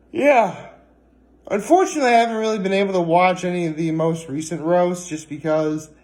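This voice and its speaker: A man chatting casually in a vlog, rambling and hesitant, with frequent pauses and filler words. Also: on the high side, darkish tone. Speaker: vlogger.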